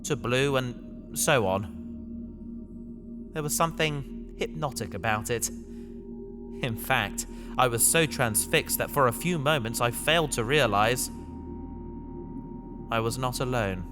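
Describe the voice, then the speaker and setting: A man playing a reflective space adventurer, narrating his own story in a measured, descriptive way for an audio drama. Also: mid-range voice, close to an everyday voice. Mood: hushed wonder. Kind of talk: scripted first-person audio drama narration. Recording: music behind the voice.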